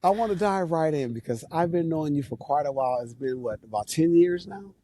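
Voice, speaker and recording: A man hosting a casual live interview from outdoors, relaxed and chatty, repeating words and laughing along with his guest. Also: fairly high pitch, brisk, dry recording. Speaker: show host. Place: outdoors near an airport.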